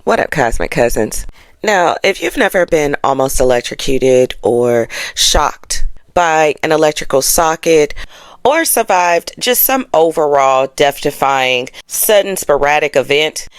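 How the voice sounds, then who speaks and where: A woman's voice: low voice, animated, unhurried, clear, resonant tone, dry recording. A woman hosting an astrology show, chatting casually to her audience in a sassy, playful, slangy way. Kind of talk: casual chatty explainer to camera.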